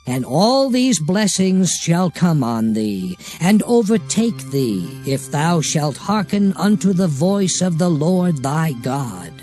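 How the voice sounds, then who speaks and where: High-voiced man reading scripture slowly. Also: big pitch swings, a little mellow. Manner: measured, declamatory. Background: music.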